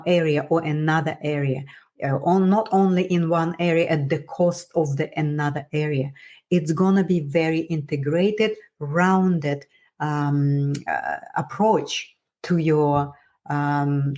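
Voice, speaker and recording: Russian accent lady doing a motivational coach talk, deep slow voice, kinda halting but encouraging. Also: darkish tone. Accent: strong Russian. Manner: halting, searching for words, encouraging. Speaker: wellness coach and podcast host.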